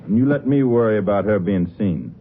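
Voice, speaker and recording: A noisy, tinny vintage radio recording carries an actor as a male American outlaw boss. He is gruff, curt and bullying, suspicious of everyone, and his low voice swings widely. It is 1950s crime drama acting. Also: lively intonation, brisk, natural voice. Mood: hostile. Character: hostile, suspicious male fugitive gang boss.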